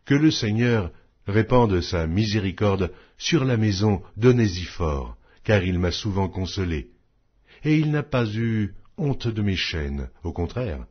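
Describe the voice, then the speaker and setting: A man reads scripture solemnly in a fairly low, husky voice. His phrasing is measured and declamatory, rising and falling with very lively intonation. The recording is slightly dull and muffled. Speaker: Bible audiobook narrator.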